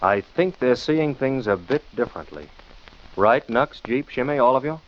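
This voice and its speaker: An actor playing a confident, cool amateur detective in 1940s radio style, assured and commanding. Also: male voice, medium pitch, animated, moderate pace, lightly acted. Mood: assured, stern. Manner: taunting, assured, crisply commanding. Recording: muffled, noisy 1940s radio recording.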